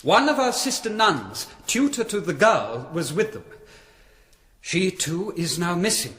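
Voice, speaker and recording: A high, very animated male voice: a medieval monk on an errand, formal, earnest and full of worry, in a radio play. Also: a little slow, heavily acted. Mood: troubled, earnest. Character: earnest, troubled monk envoy, formal and anxious. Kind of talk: radio drama acting.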